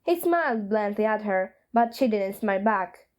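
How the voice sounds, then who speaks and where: A woman's voice: medium pitch, animated, normal speed. A woman reading a story aloud as a scripted narration. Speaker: fan fiction reader.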